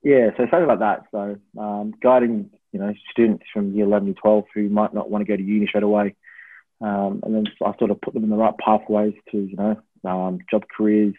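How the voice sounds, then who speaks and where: An Australian man in his twenties with a husky voice, chatting casually and hesitantly as an interview guest, rambling a little as he goes. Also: lowish voice, flat delivery, a little fast. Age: 20s. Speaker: podcast guest, university student. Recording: thin phone-like line with room echo.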